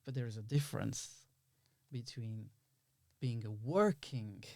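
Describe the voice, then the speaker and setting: Guy with as chatting in an interview, average pitch, really dry recording, acts out little quotes. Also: unhurried, vocal fry, darkish tone, no room sound. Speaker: interview guest, filmmaker and actor. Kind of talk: casual conversational interview.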